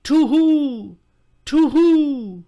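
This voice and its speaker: A man voicing an eerie, fiery-eyed owl in a storybook, with a high, loud hooting screech. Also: expressive, drawn-out words, heavily acted. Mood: eerie screaming. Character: screaming owl with fiery eyes, eerie bird.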